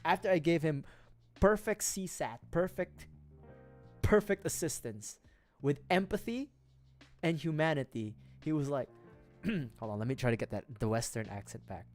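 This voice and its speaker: Young Filipino-accented male streamer, slightly high-pitched, giggly and rambling, faint music behind. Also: lively intonation, darkish tone. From Philippines. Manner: rambling, giggly, quick asides. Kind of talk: casual livestream chat.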